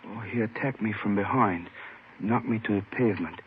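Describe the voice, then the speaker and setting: A man's voice: lowish voice, normal speed, breathy edge. A man acting an injured, courteous jade dealer in a 1950s radio drama, speaking formally and carefully with some hesitation. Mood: pained, polite. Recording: tinny, faintly noisy old radio recording.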